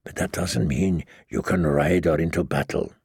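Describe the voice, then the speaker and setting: Man playing a sardonic gravelly highborn knight. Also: fairly even intonation, normal speed, darkish tone, dry recording, clean studio sound, heavily acted. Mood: mocking. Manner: mocking, drawling, sneering. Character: sardonic, taunting highborn male knight, cocky and cruel.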